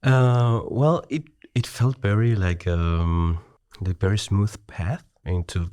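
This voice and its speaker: A man's voice: fairly low pitch, slow, dark tone, no room sound. A middle-aged man from Chile with a Chilean Spanish accent, chatting as a podcast guest, hesitant with many restarts and easy laughter. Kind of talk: casual conversation.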